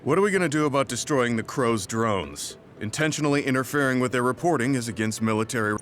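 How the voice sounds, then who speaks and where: Casting: male actor for by-the-book veteran soldier in an anime game; medium male pitch, matter-of-fact, music behind. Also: lively intonation, fast talker, fairly bright tone, lightly acted. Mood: disapproving. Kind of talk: English anime game voice acting.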